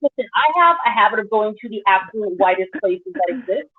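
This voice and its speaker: Animated woman on a tinny video call. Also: medium pitch, breathy edge. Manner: animated storyteller, quick asides. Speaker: pole dance instructor. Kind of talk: casual panel discussion.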